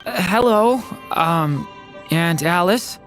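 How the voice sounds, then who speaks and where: An actress playing a shy, earnest and polite young boy in a video game, gentle and hesitant. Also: fairly low pitch, lively intonation, slow, music in the background. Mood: hesitant, puzzled. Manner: hesitant, polite, soft-hearted.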